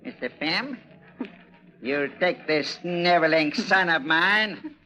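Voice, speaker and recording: An actor in a 1940s radio drama playing a cruel, paranoid ship's captain, menacing, mocking and explosive. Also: male voice, on the high side, slow pace, sharp tone. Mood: cruel, commanding. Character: tyrannical, paranoid ship's captain, cruel middle-aged father. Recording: dull, lo-fi 1940s radio recording.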